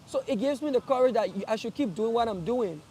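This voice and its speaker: Young man, high voice, earnest, halting restarts, outdoors, faint street traffic behind. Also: animated, a little mellow. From Liberia. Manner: earnest, repeats and restarts phrases. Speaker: streamer. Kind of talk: casual live address to followers.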